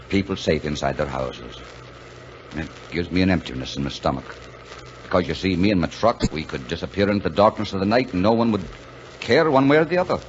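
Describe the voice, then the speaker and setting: An actor playing a kindly, gruff, lonely truck driver in folksy 1940s radio drama style. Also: male voice, low voice. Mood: wistful. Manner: folksy, plainspoken. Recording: muffled, noisy 1940s radio recording.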